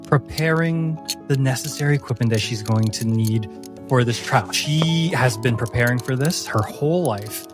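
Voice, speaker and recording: A man narrating a fantasy tabletop game as game master, with deliberate, descriptive storytelling. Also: medium pitch. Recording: music under the voice. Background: music.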